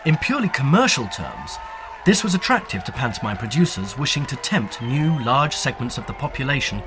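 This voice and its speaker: A man reading scripted documentary narration in a measured, formal, lecturing manner. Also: mid-range voice, sing-song, background noise.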